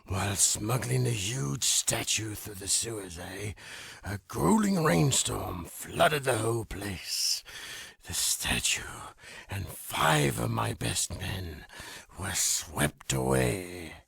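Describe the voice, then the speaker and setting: An actor playing a sly, greedy underworld crime boss in a video game, with a thick gravelly rasp and a drawling, grumbling delivery. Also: male voice, on the low side, expressive, a bit bright, no room sound, heavily acted. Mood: wistful, scheming. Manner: slow drawl, punctuated with questioning "eh?" tags. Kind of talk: video game cutscene acting.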